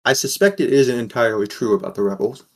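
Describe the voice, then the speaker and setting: A man's voice: medium pitch, quick pace, husky. A man playing a wary, sardonic sixteen-year-old boy who narrates an audio drama in the first person. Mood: guarded.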